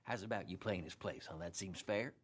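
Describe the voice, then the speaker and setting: An actor playing a pushy, wheedling card player in a Western video game. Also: male voice, low voice, fairly quick, a little hiss or hum, natural voice. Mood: insistent.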